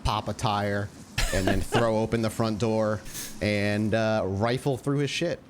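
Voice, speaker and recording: A man's voice: fairly low pitch, fairly even intonation, measured pace, slight background noise. A man chatting informally and with some swearing as a player in an improvised tabletop roleplaying game.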